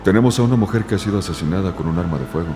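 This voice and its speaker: Male forensic examiner played by a man, crime podcast drama; rumbling bass, quick, factual delivery. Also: over music, natural voice. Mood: clinical. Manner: clinical, factual reporting. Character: clinical, matter-of-fact male forensic examiner. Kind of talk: scripted audio drama dialogue.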